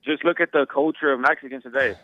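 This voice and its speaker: A man's voice: medium pitch, steady tone, fast talker, no echo. A man calling in to a talk show, arguing insistently and repeating himself as he stumbles over words. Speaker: caller. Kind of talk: phone-in argument. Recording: tinny phone line.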